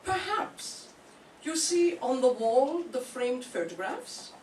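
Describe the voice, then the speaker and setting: A kindly old nun, played by an older woman in an old-time radio drama, gentle and unhurried. The voice is lilting and very slow, and it is picked up from far off in a reverberant room. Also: high voice, big pitch swings, exaggerated voice. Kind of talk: 1940s radio acting. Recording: echoey room from far off, faint hiss.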